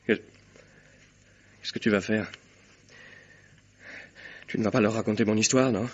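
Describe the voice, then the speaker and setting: Husky, nervous male suspect. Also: lowish voice, steady tone, slow. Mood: panicky, defensive. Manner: stammering, defensive, agitated. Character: nervous, evasive male suspect, self-serving and cowardly. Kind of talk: French radio mystery drama acting. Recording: dull, muffled old radio recording.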